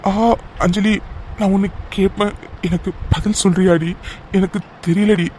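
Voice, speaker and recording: A man playing an injured, lovesick young man in an audio drama, speaking haltingly and earnestly to his beloved. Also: high-pitched, fairly even intonation, a little mellow, slight background noise, natural voice, low-quality recording. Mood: weak, earnest. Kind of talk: melodramatic Tamil audio drama acting.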